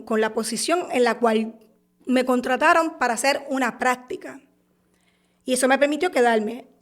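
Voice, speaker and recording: High-voiced Puerto Rican woman telling her story. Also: a bit bright. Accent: Puerto Rican Spanish. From Puerto Rico. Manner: halting, repeats and restarts words while recounting. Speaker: guest. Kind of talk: personal testimony on a podcast.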